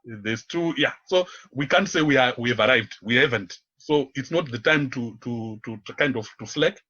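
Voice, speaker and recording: Husky guy being interviewed on radio, feels his way thru sentences, bit dull lo-fi sound. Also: mid-range voice, bright, forceful tone. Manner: halting, searching, repeats words as they go. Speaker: interview guest, community volunteer and activist. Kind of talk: unscripted radio interview talk.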